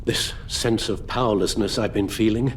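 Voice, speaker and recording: An actor playing an elderly British butler in a video game, formal and measured, weary and full of sorrow. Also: male voice, on the low side, fairly even intonation, a little hiss or hum, lightly acted. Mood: grave pleading. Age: older. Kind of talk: dramatic video game acting.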